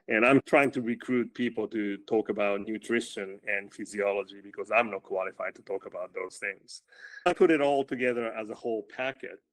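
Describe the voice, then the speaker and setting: A man with a loud voice and a somewhat low pitch talks about coaching in a relaxed interview. He often restarts his sentences, repeating the first words before he gets going. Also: poor audio. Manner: halting, repeats words when starting sentences. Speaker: running coach. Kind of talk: casual interview conversation.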